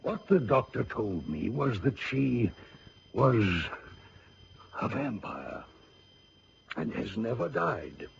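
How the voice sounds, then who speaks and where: An old general in a radio play, grave and haunted. His gravelly voice moves slowly and swings widely in pitch, on a dull, hissy old recording. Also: very animated, exaggerated voice. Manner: dramatic, deliberate, solemn. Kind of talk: radio drama acting.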